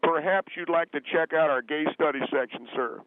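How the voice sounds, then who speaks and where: A thin phone line carries a man acting as a defensive, humorless male caller in a comedy radio phone-in. His voice sits a little high, and he pleads his case haltingly, with earnest indignation. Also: no room sound. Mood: defensive, aggrieved. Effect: telephone line. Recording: tinny, band-limited phone line.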